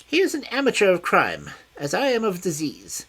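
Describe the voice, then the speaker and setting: A reader voicing a small, frail, petulant older man with a high, shrill, screaming voice and imperious, peevish outbursts. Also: huge pitch swings, normal speed, a bit bright, husky, exaggerated voice. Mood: smooth.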